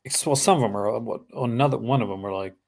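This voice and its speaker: A man with a Canadian accent talks loosely and casually on a live stream. His voice has a gravelly, creaky edge. He wanders through his thoughts with plenty of fillers and restarts. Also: mid-range voice, fast talker, a little mellow. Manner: rambling, many fillers and restarts. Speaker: host. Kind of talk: casual chat. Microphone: streaming call.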